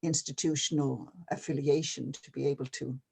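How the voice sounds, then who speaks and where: An older person from Canada, talking casually and hesitantly with many pauses and fillers. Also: mid-range voice, level tone, creaky, noisy background. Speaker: retired NGO advisor. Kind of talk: casual video-call conversation.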